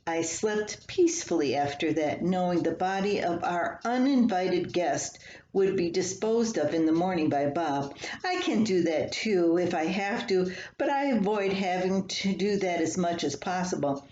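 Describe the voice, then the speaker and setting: An older woman reading her own humorous personal column aloud in a folksy, unhurried storytelling manner. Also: lowish voice, lively intonation, a little mellow. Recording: echoey room, slightly dull, faint hiss.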